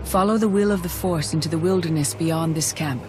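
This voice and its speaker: An actress playing a calm, wise mystic mentor in a video game, speaking in a measured, earnest way. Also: female voice, deep voice, background noise, natural voice. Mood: earnest, serene. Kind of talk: dramatic video game acting. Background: background music.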